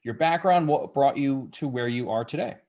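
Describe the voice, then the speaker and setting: A man's voice: mid-range voice, normal speed, a little mellow. A man hosting a podcast, reading the guest intro and then asking questions in a casual way on a video call. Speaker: podcast host.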